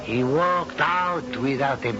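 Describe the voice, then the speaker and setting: An actor playing a proud, anguished older Spanish husband in a halting, melodramatic 1970s radio drama style. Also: male voice, mid-range voice, close to an everyday voice. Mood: sorrowful, confessing. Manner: slow, halting, melodramatic delivery. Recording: dull old radio broadcast with music.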